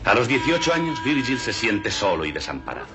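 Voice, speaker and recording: Mock-grave film documentary narrator, deep voice, lively intonation, music behind. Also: male voice, a little fast. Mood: mock-solemn. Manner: solemn, mock-grave newsreel delivery.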